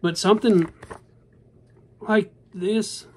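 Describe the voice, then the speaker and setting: A man's voice: high-pitched, fairly even intonation, slow pace, breathy edge. A man in his thirties talking casually and earnestly to his phone camera in a bedroom, rambling and calling his viewers y'all. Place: indoor bedroom. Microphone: phone camera at arm's length.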